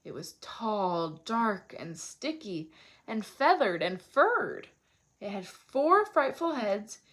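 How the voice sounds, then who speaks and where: A woman's voice: medium pitch, big pitch swings, slow, fairly bright tone. A woman storyteller reading a children's picture book aloud expressively.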